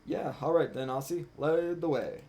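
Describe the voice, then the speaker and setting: A man doing live gameplay commentary as a streamer, excitable and given to swearing. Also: a little high, normal speed. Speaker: game streamer. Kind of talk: live gameplay commentary, reading dialogue aloud. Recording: music behind the voice.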